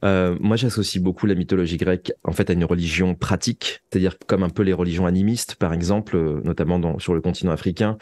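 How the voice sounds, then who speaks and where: A man's voice: low voice, fairly even intonation, fast, hardly any pauses, a little mellow, no room sound. A man speaking as a writer in a reflective interview, searching for his phrasing and repeating words as he goes. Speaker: guest, writer of audio fiction.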